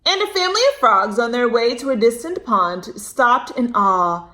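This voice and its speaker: Higher-pitched woman reading a children's story aloud. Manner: animated, drawn-out emphasis, addresses young listeners directly. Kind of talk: expressive read-aloud with chatty asides and questions.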